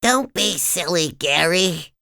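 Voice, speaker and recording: Woman voicing sick cartoon sponge boy: very high, nasal, stuffy, slow delivery, dry read. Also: lively intonation, creaky, bright, forceful tone, dry recording, full character voice. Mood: sick, pleading. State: sniffly with a cold. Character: cheerful young male sea sponge, eager. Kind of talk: storybook read-aloud.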